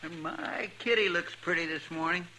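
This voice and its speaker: An actor playing a folksy, deferential marshal's sidekick in a 1950s radio western. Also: male voice, sits high, sing-song, normal speed, vocal fry, exaggerated voice. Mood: admiring, eager. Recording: noisy, crackly 1950s radio recording.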